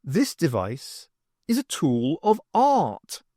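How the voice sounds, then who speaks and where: A man's voice: a little high, wildly swooping pitch, slow pace, dark tone, dry recording, studio quality, full character voice. A man playing a nervous, self-important artist in an audiobook, hesitant yet pompous. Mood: proud, pompous. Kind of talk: audiobook character dialogue.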